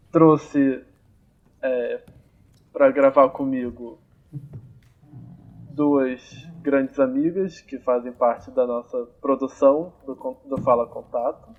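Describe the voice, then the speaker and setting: A young man hosting a podcast in a relaxed, casual way, thinking aloud with many fillers, recorded on amateur home gear. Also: mid-range voice, phone-quality sound. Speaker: podcast host. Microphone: amateur home recording, phone or computer.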